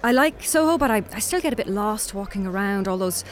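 A woman's voice: mid-range voice, lively intonation, brisk, close to an everyday voice. An actress playing a weary, polite Irish woman on a doomed date in an audio drama, dryly guarded. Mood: politely trying.

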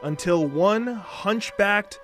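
A man's voice: sits high, slow. Teasing male radio host with music. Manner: teasing, mock-dramatic storytelling. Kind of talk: playful scripted game-show hosting.

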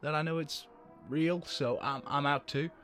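An actor playing a laid-back, apologetic party slacker in a comedy sketch. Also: male voice, a little high, moderate pace, fairly bright tone, soft background music, natural voice. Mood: sheepish.

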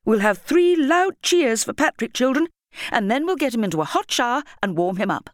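A woman voicing a warm, indignant school headmistress addressing children emphatically in an audiobook story. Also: on the high side, lively intonation, normal speed, dry recording, clean studio sound, pitched above the performer's own voice, close to an everyday voice. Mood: brisk, rallying. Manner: emphatic, exclaiming, addressing a crowd.

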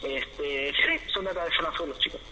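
A man from Buenos Aires, a guest on the phone, talking in a halting, repetitive way with many restarts. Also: a little high, over a noisy crowd, low-quality recording. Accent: Buenos Aires Spanish. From Argentina. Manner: halting, repeats words, grateful. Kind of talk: casual phone interview.

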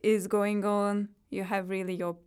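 A woman from Turkey with a Turkish accent, a guest artist talking thoughtfully in an interview, often pausing and laughing softly between phrases. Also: mid-range voice, flat delivery, clean tone. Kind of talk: interview conversation.

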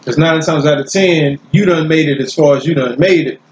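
A man's voice: a little high, brisk. Man, blunt, profane, casual chat, dull roomy recording, faint background noise. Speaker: podcast co-host.

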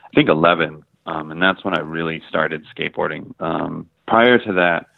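A man's voice: extremely low pitch, moderate pace, gravelly, no echo. A middle-aged American man from the Midwest, a photographer chatting casually in an interview, telling stories with lots of fillers and the odd swear word. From Ohio and Michigan, US. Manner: relaxed storytelling, heavy filler words, casual swearing. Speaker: guest photographer. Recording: dry, phone-like line.

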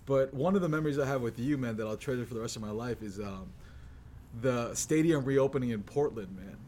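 A man's voice: medium pitch, brisk, dark tone, slight background noise. A man from the US East Coast hosting a casual conversation in an informal, slangy, earnest way. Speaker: podcast host.

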